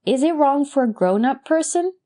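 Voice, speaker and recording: Woman reader doing a high-pitched, mellow-toned small boy, mischievous, childish. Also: lively intonation, moderate pace. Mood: curious. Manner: childish, pleading, colloquial. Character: mischievous six-year-old boy, blunt and earnest.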